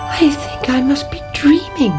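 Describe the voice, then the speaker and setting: A woman's voice: high-pitched, unhurried, over music, pitched above the performer's own voice. A woman voicing a young, frightened princess in a pleading, girlish, breathless way for a storybook. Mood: reluctant, amazed.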